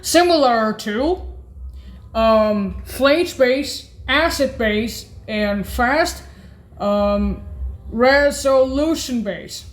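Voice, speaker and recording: A woman with a fairly high voice presents keyboard sounds extremely slowly. She is hesitant and deliberate, naming items one at a time in an informal, halting demo style.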